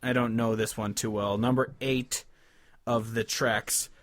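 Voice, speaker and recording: A man's voice: medium pitch, little pitch movement, unhurried, dry recording. A man in his twenties chatting casually about music as a podcast co-host, rambling and informal, swearing now and then. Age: 20s.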